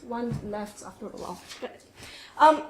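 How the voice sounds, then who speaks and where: A woman with a Singaporean accent giving an academic conference talk in a seminar room, lively, with frequent "um"s and laughs. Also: on the high side, moderate pace. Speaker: conference speaker. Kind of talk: academic presentation. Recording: echoey room, faint noise.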